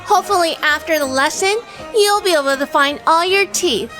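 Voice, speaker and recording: An actress playing a feisty, flirtatious and sardonic gangster's wife in a 1930s-style radio drama. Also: female voice, very high-pitched, normal speed, sharp tone, faint music behind. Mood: defiant. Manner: sassy, sardonic. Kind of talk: hardboiled period radio drama dialogue and first-person narration.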